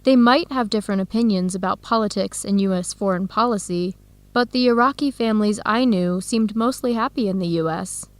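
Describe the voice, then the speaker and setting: A woman playing a warm, curious American researcher in an educational radio drama, speaking in a conversational, inquisitive way. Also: mid-range voice, brisk, dry recording, close to an everyday voice. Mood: thoughtful.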